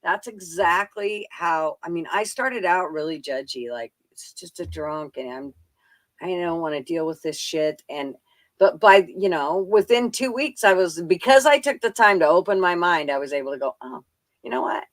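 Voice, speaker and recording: A woman's voice: on the low side, lively intonation, moderate pace, no room sound. A middle-aged woman in casual live stream chat, rambling and swearing freely in a blunt, folksy way.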